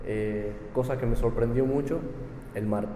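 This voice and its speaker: A young man from Argentina whose speech now leans strongly Cuban talks in a relaxed, chatty way. His voice is mellow and dark. The room rings with a long echo under a faint ambient hum. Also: medium pitch, measured pace. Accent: Argentine Spanish with a strong Cuban influence. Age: young adult. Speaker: interviewee, university student. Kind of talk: casual interview. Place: high-rise apartment overlooking the sea.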